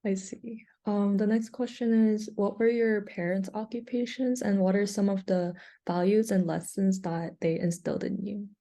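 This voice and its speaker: A woman conducting an oral history interview over a video call, reading her questions in a measured way. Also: mid-range voice, fairly even intonation, warm tone.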